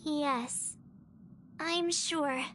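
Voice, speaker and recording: A woman voicing a perky, stubborn young girl adventurer in a video game, with an expressive girlish delivery. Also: high voice, drawn-out words, slight background noise, exaggerated voice. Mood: resolute. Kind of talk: English video game voice acting.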